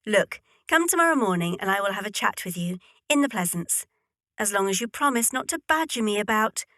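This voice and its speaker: A woman's voice: mid-range voice, animated, a little fast, fairly bright tone, dry recording, studio quality, close to an everyday voice. A woman playing a self-possessed, teasing young heiress in a period audio drama. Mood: firm, placating.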